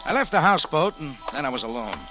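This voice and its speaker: A man's voice: fairly high pitch, very animated, fast talker. An American actor playing a wry, tough male private detective, clipped and wisecracking, in a 1940s radio drama. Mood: wry, tense narration. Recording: old radio recording with music behind.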